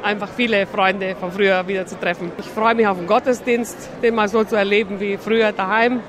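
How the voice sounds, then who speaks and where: A man gives a casual street interview as an event visitor. His voice is high-pitched. A busy outdoor crowd carries on behind him. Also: fairly even intonation, brisk.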